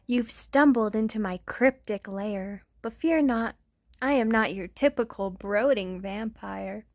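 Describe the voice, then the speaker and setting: A woman's voice: medium pitch, unhurried, no echo, clean studio sound, close to an everyday voice. A woman playing a giggly, clumsy vampire count. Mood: playful. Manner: giggly, theatrical, breaks into laughter. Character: clumsy, goofy male vampire count, jokey and silly. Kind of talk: comedic ASMR roleplay reading.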